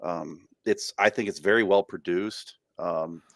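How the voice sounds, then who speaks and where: A man's voice: low voice, steady tone, a little slow, vocal fry, fairly bright tone, dry recording, clean studio sound. A man co-hosting a casual podcast, rambling with lots of fillers and restarts. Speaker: podcast co-host.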